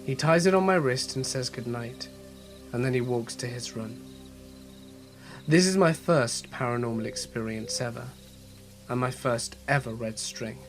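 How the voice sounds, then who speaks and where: A man narrating horror stories as a channel host, reading in a measured, steady way with a voice slightly off from a cold. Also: medium pitch, faint music behind. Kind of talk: scripted horror story narration.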